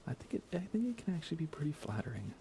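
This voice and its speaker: A man speaking softly as an ASMR game streamer, hushed and often near a whisper, rambling casually as he chats with viewers. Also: a little high, very animated, very fast, slight background noise. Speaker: live streamer. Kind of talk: soft-spoken ASMR gaming livestream chat.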